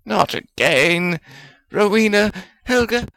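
A man voicing a fierce, excitable, jealous male wizard spirit with a snappish, theatrical manner in a storybook reading. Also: medium pitch, unhurried, gravelly, no room sound, voice pushed up, heavily acted. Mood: snappish, frustrated.

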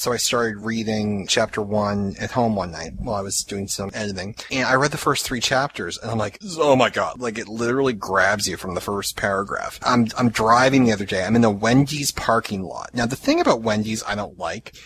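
A man's voice: lowish voice, expressive, fairly quick, few pauses, a bit bright, a little hiss or hum, poor audio. A man with a Boston accent hosting a podcast, telling long joking tangents in casual chat. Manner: rambling, joking storyteller who laughs at his own tangents. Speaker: podcast host.

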